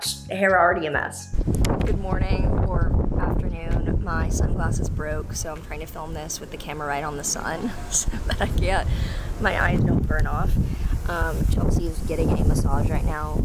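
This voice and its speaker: Candid young woman vlogging with music behind. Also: fairly low pitch. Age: young adult. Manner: candid, swears freely, drawn-out casual fillers. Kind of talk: casual vlog chat to camera. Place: holiday villa, indoors and on sunny terraces. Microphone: handheld phone at arm's length.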